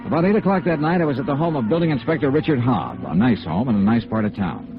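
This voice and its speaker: A man's voice: mid-range voice, sing-song, fast talker, lightly acted. An American actor playing a hard-boiled, persistent insurance investigator in a radio noir drama, clipped and matter-of-fact as he narrates and questions. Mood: dry narration. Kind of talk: 1950s radio noir acting. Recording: dull vintage radio recording with music.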